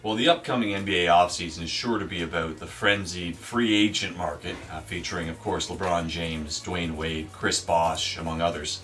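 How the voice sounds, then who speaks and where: A man giving a wry, deadpan sports commentary, auditioning as a sportscaster. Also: sits low, normal speed, few pauses, distant mic, soft background music. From Canada. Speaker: aspiring sportscaster.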